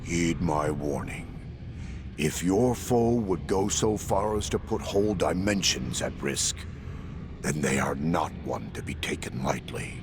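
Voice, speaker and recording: A man's voice: low voice, expressive, a little slow, background noise. An actor playing a boastful, theatrical wandering swordsman in a video game, with grandiose archaic speech and sudden outbursts. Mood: grave, brooding. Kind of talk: video game cutscene acting.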